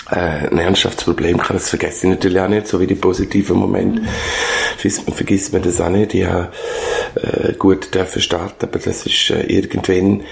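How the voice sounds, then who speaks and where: Older swiss german guy, low voice w lots of fry, halting interview talk, keeps searching for words. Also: a little mellow. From Switzerland. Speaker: former schoolteacher being interviewed.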